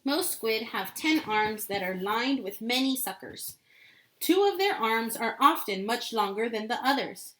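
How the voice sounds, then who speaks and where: A woman's voice: fairly high pitch, fairly bright tone. A woman teacher reading a book aloud as a lesson, repeating key points and adding simple explanations for children.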